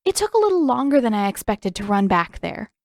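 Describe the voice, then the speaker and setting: A woman's voice: medium pitch, big pitch swings, a little fast, a little mellow, no room sound, clean studio sound. A woman voicing a sarcastic, stubborn teenage girl in an audio story, emphatic and defensive. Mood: sarcastic, evasive.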